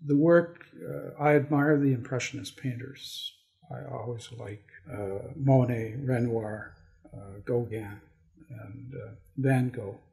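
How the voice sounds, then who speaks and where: A Canadian man speaking as a guest poet on an arts podcast in a deliberate, halting manner. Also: mid-range voice, vocal fry, warm tone. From Toronto area, Canada. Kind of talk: casual self-introduction and slow poetry reading. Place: studio.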